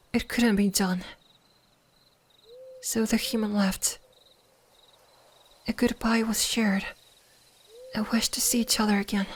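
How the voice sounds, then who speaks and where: Woman playing a young female fox spirit, ASMR bedtime roleplay, high soft voice, breathy half-whisper, even melody, gentle, lonely. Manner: gentle, slow, wistful.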